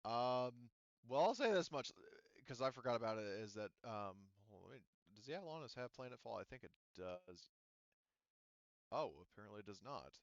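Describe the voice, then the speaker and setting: Halting, smooth-voiced man running a game. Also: medium pitch, expressive, no echo, muffled recording. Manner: halting, thinking aloud, casually profane. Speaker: game master. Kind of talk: running a tabletop roleplaying game, casual and unscripted.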